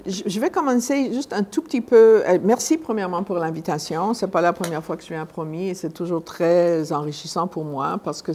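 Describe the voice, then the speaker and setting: An older woman giving an informal talk as a guest speaker, conversational and halting, often repeating and rephrasing her words. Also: deep voice, darkish tone, a little hiss or hum. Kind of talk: informal public lecture.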